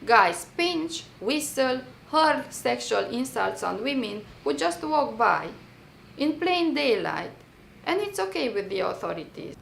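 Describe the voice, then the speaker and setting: Woman, Romanian accent, raised pitch, emphatic dramatic reading, deliberate delivery, roomy echo with faint noise. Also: very animated, vocal fry, fairly bright tone. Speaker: writer reading own work. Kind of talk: dramatic reading of a personal testimony essay.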